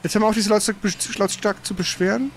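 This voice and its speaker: A man's voice: sits high, steady tone, fast talker. A man streaming a video game, thinking aloud in casual, informal live commentary. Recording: faint background noise.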